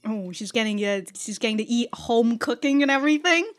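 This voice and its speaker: A woman's voice: a little high, lively intonation, a little fast, soft background music. A woman giving casual, slangy, sweary and giggly reaction commentary as host of a reaction video.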